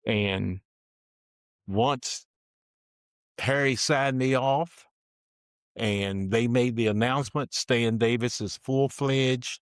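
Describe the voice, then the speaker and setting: An older man being interviewed, slowly and plainly telling the story of his working life and dropping his voice for asides. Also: medium pitch, no room sound, clean studio sound. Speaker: interviewee recounting his career. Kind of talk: slow, deliberate personal storytelling.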